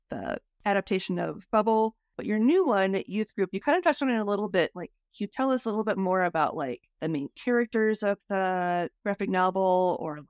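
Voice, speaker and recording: A woman's voice: mid-range voice, dry recording, clean studio sound. A woman hosting a podcast interview, asking questions in an informal, encouraging way. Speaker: podcast host. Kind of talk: casual interview.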